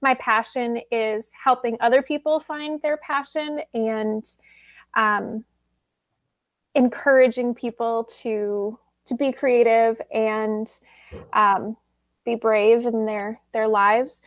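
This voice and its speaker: A woman introducing herself as a new school board member, measured and thoughtful. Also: fairly high pitch, fairly even intonation, dry recording, studio quality. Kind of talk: self-introduction at a meeting.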